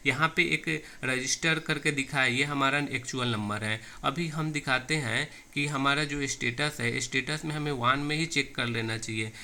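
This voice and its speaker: Sharp, bright-voiced man giving a tech tutorial. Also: medium pitch, normal speed, few pauses. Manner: repetitive, restarts phrases as he demonstrates. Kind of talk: hands-on tech tutorial, explaining step by step. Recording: noisy amateur recording with clicks.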